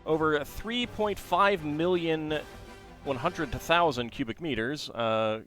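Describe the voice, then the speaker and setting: A man's voice: mid-range voice, fairly bright tone, dry recording. A man casually chatting to his viewers while building a Lego set, reading facts aloud and talking to chat.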